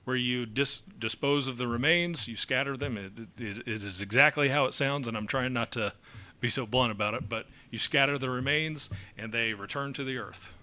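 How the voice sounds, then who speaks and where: A man's voice: mid-range voice, normal speed, bright, forceful tone. A man presenting an ordinance at a city council meeting, explaining it with many fillers and an occasional chuckle. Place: council chamber.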